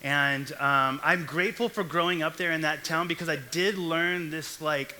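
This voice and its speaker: A man's voice: fairly high pitch, steady tone, normal speed, pushed and bright, slight background noise, poor audio. A young man from Texas giving a casual, joking sermon to a young adult church group. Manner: chatty, jokey asides. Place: church hall stage.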